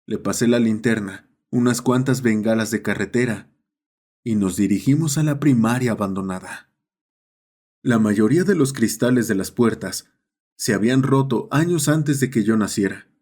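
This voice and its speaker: A man's voice: mid-range voice, normal speed, a little mellow. A man reading a scripted horror story as its narrator.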